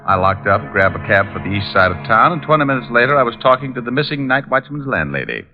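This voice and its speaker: An actor playing a sardonic private detective in a vintage radio drama, with an American accent and glib, dry wit. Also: male voice, mid-range voice, sing-song, fast. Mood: wry narration. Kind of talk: 1950s radio drama acting and noir narration. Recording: muffled vintage radio recording, music.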